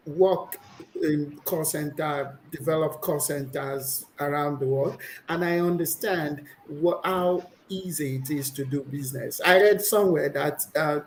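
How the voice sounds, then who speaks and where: A woman's voice: low-pitched, darkish tone, slight background noise, poor audio. Opinionated woman guest. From Nigeria. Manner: opinionated, explanatory, with frequent restarts. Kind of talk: conversational interview.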